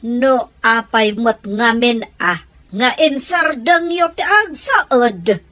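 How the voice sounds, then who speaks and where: A woman's voice: fairly high pitch, lively intonation, takes it slowly, pushed and bright, heavily acted. A woman acting a scheming schemer who questions and prods her accomplices in an audio drama. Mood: reproachful. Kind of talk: Ilocano radio-style audio drama acting.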